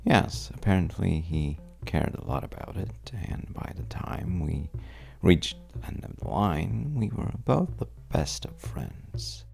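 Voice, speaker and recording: A man playing a charming, shifty stranger at a bar in an intimate audio roleplay, telling wry, teasing tales in a hesitant, gravelly way. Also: sing-song, takes it slowly, hardly any pauses, a little mellow, soft background music, full character voice. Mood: wry storytelling. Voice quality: very deep, gravelly, breathy at times. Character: well-dressed, untrustworthy charming male stranger at a bar, bragging playfully.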